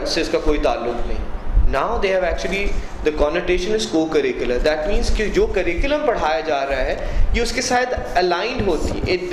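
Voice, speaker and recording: A man from Pakistan, a university director of student affairs, giving earnest, explanatory interview answers and often adding "you see". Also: on the high side. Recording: echoey room with background noise.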